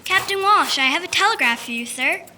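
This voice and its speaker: A woman's voice: extremely high pitch, expressive, a little fast, pushed and bright, a little hiss or hum, heavily acted. A woman playing a polite, eager young telegraph boy in a radio drama. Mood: dutiful.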